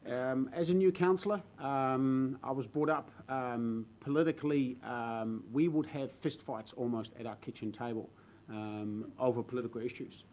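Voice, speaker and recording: Earnest Dutch-accented man, halting speech. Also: mid-range voice, steady tone, a little mellow, slight background noise. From Netherlands. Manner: earnest, halting, many fillers and restarts. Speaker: new regional councillor. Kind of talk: speech in a council debate. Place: council chamber with public gallery.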